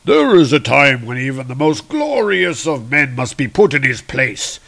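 A man's voice: medium pitch, sing-song, normal speed, gravelly, a bit bright, exaggerated voice. Comic book ruler of gods read by a man: stern father, stately, disapproving. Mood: stern satisfaction.

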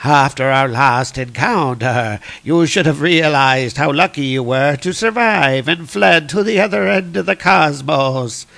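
A man voicing a scornful, cruel villain who slays gods, taunting in a slow, theatrical way for a comic-book story. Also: on the high side, gravelly, fairly bright tone, dry recording. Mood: cruel threatening. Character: menacing, scornful god-killing villain, grandiose and cruel.

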